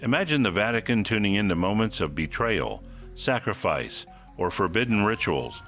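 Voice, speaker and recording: Bright, low-voiced male mystery podcast host. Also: sing-song, normal speed, soft background music. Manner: suspenseful, theatrical. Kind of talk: scripted dramatic mystery narration.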